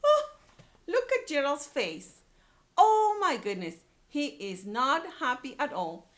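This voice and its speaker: A woman's voice: sits high, very animated, takes it slowly. A woman teacher reading aloud to young children, using simple words, repetition and questions. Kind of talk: animated read-aloud lesson.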